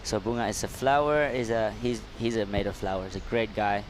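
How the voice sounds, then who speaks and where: A man's voice: fairly low pitch, brisk, slight background noise. A man with an Indonesian accent co-commentating live surfing, playful and laughing, with loose, broken phrasing.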